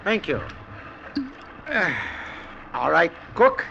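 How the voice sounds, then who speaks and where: Tired shipwrecked captain giving orders, old radio play, muffled sound with sea noise. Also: male voice, a little high, big pitch swings, creaky, heavily acted. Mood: hearty command. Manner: measured, authoritative, giving orders. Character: weary, commanding shipwrecked sea captain in a lifeboat. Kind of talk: 1940s-50s radio drama acting.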